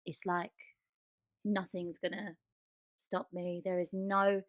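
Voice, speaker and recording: A woman's voice: fairly low pitch, level tone, takes it slowly, dry recording, studio quality. A woman co-hosting a podcast in casual chat, speaking informally and with emphasis. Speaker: podcast co-host.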